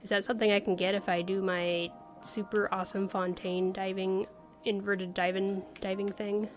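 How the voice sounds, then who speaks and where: A woman's voice: medium pitch, flat delivery, normal speed. A woman giving casual livestream commentary on a video game, thinking aloud in a playful, exclaiming manner. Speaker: game streamer. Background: game music.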